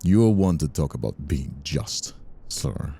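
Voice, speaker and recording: An actor playing a menacing, condescending mobster villain in an audio roleplay, taunting with a drawl. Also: male voice, very deep voice, expressive, slow, creaky, dark tone, husky, exaggerated voice. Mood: defiant, menacing. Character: menacing, mocking ambitious mobster villain, adult man. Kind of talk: dramatic audio roleplay acting.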